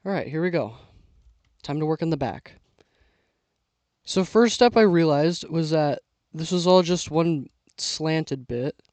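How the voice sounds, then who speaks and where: A young man giving casual, self-talking commentary while building with LEGO, losing his voice and clearing his throat now and then. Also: fairly high pitch, a little slow, no echo. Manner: relaxed, mutters to himself while building.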